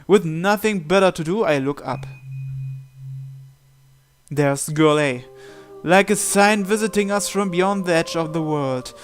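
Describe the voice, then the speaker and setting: A man's voice: fairly high pitch, unhurried, pauses often, faint music behind. A man hosting a game playthrough, reading the story aloud expressively and adding casual commentary. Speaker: gamer hosting a visual novel playthrough.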